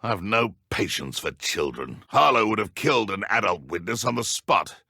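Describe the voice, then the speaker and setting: Haughty, gravelly British dark wizard. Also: male voice, lowish voice, animated, moderate pace, no echo, studio quality, heavily acted. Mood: scheming. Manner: haughty, menacing. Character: smug, cold-blooded male dark wizard henchman. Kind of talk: video game character voice lines.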